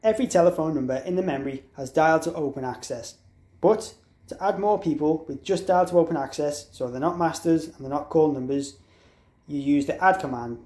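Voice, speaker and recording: Echoey, higher-pitched man giving a tech walkthrough. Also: brisk, dark tone. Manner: explanatory, step-by-step, spells out letters and digits. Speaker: product tutorial presenter. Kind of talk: instructional walkthrough, informal and unscripted. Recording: echoey, roomy sound.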